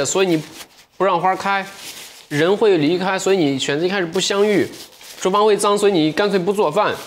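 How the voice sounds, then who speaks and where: A man's voice: high-pitched, a little hiss or hum. Playful man hosting a livestream sale. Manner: playful, self-mocking, talking to viewers while eating. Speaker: livestream sales host. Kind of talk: livestream selling with reflective asides. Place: livestream studio set with shelves and a table.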